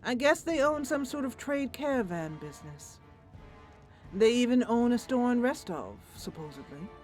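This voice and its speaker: A woman's voice: a little high, big pitch swings, moderate pace, faint music behind, pitched above the performer's own voice. A woman voicing a curious, hopeful female adventurer in a fantasy roleplay, thoughtful and earnest.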